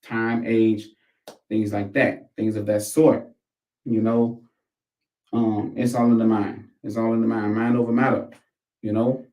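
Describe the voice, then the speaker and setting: A man's voice: fairly low pitch, little pitch movement, a little slow, pauses often, warm tone. An American man giving casual, rambling commentary in heavy slang, swearing and repeating phrases for emphasis. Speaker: host of a commentary show. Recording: echoey room, distant microphone.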